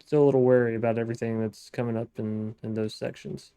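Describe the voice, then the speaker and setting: A man with a gravelly, mellow voice chats his way through a modded building game, musing aloud and correcting himself as he plays. The sound is dry and close to the mic. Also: medium pitch, little pitch movement, quick pace, no echo. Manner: chatty, thinking aloud, frequent restarts and self-talk. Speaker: gaming let's-play host. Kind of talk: casual gameplay commentary.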